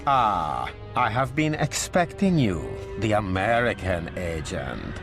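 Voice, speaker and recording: A megalomaniac video game dictator with an imperious, theatrical delivery. He takes his time, letting the pitch swing widely over each grandiose phrase, with music playing behind. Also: sing-song, slow pace, full character voice. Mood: smug, grandiose. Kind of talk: video game cutscene acting.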